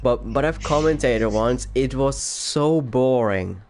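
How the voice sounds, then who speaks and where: A man's voice: medium pitch, slow, a little hiss or hum. A young man with a Polish accent mixed with Finnish, chatting casually and rambling on a voice call before commentating a race, tired from no sleep. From Poland. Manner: rambling, laughs often, trails off quietly. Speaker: racing league commentator. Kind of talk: casual chat.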